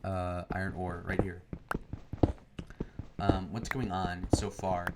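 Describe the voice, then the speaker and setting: A man's voice: low voice, flat delivery, slow pace. A young man giving rambling, filler-heavy commentary while he plays a game. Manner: meandering, full of fillers and restarts. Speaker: gaming video creator. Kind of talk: rambling commentary over gameplay. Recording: loud background noise.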